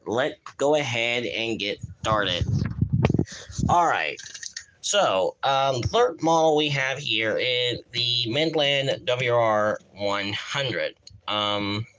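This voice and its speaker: A man giving a casual live-stream show-and-tell as a YouTuber, haltingly and often repeating his phrases. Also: medium pitch, bright, forceful tone, slight background noise, low-quality recording. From United States. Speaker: YouTuber showing a weather radio collection.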